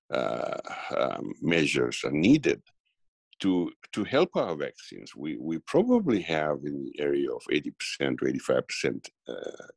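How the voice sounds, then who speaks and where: A middle-aged man from Tanzania giving a political interview, speaking haltingly with many fillers and restarts. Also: a bass voice, vocal fry, no echo. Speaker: guest commentator.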